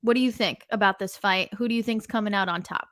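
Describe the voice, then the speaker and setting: A woman's voice: mid-range voice, fairly even intonation, fast, a bit bright. Upbeat woman podcast host. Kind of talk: casual interview.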